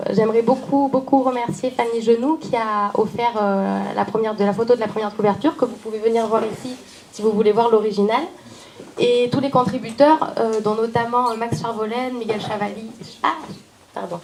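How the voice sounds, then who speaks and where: A woman's voice: fairly high pitch, a little mellow, rough recording. A woman from Nice, an author presenting her book and thanking people in a frank, slightly hesitant speech. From Nice, France. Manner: frank, a little hesitant, stumbling over names.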